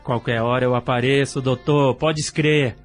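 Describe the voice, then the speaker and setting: A man's voice: mid-range voice, fairly even intonation, unhurried, faint music behind, lightly acted. An actor playing a streetwise male thug, edgy but grateful, in an audio drama.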